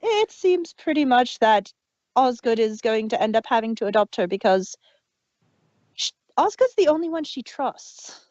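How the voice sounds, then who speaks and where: A woman's voice: fairly high pitch, sing-song, moderate pace, darkish tone, no echo. A woman co-hosting a casual review podcast. She talks in a chatty way, with hesitations and frequent restarts. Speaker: podcast co-host. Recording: dull lo-fi dry home mic.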